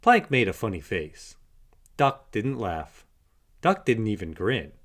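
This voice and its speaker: A man reading a children's storybook aloud with animated, expressive delivery. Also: lowish voice, slow pace. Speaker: storybook narrator. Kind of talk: children's read-aloud narration.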